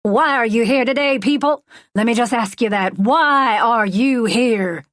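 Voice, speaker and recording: A woman voicing a folksy, charismatic male megachurch preacher, preaching with drawn-out words and dramatic pauses. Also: a little high, dry recording, studio quality, voice pushed up, close to an everyday voice. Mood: rousing. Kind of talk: audiobook character voice.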